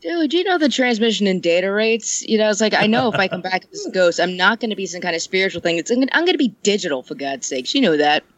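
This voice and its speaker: A woman's voice: medium pitch, expressive, quick pace, few pauses, no room sound. A woman chatting casually on a podcast, rambling and joking, laughing often. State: ill. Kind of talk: casual chat.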